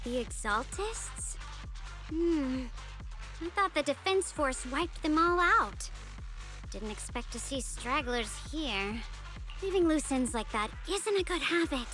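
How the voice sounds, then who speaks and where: A streetwise young woman fighter in anime game style. Her voice is high and very lively, with a wry, teasing confidence and music playing behind it. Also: slow, heavily acted. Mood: wry surprise. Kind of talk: dubbed anime game voice acting.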